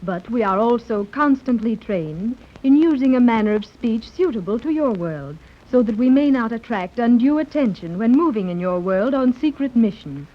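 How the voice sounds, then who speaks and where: A woman's voice: a little high, animated. A woman playing a composed young commander in a 1930s radio serial, with formal, exact diction. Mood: cool, precise. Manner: formal, exact, measured wording. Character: cool, precise twenty-two-year-old woman commander. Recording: dull 1930s radio recording.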